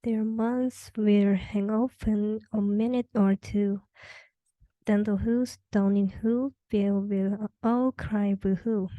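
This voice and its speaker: A woman's voice: medium pitch, fairly even intonation, takes it slowly, no room sound, phone-quality sound. A young adult woman reading a children's rhyming story aloud as the narrator. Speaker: storybook reader.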